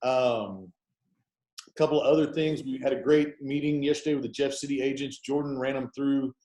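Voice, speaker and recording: A man's voice: medium pitch, steady tone, normal speed, dark tone. A man, hosting a real estate team video meeting in an upbeat, chatty, folksy way. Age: 40s. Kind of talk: casual software walkthrough on a video call. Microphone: video call. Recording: echoey room over a video call.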